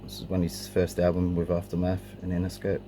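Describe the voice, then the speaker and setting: A man's voice: sits low, completely flat delivery, fairly quick. A middle-aged man giving a casual, unscripted show-and-tell of his collection, a little hesitant, on an amateur camera recording. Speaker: collector presenting a cassette collection. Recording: clearly noisy amateur home recording.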